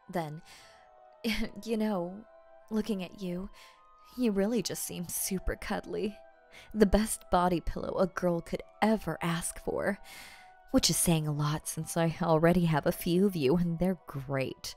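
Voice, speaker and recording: Woman acting a slow-talking, doting, possessive rich girlfriend. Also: on the low side, a little mellow. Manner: coaxing, teasing, halting playful asides. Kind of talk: ASMR audio roleplay, spoken straight to the listener.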